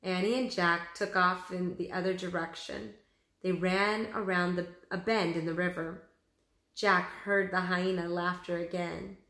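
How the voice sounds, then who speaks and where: A woman teacher reads a story to children in a bright, forward voice. Her pace is slow and deliberate, with expressive delivery, and the room around her sounds echoey. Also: on the low side. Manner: expressive, addressing young pupils. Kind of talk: children's story read-aloud.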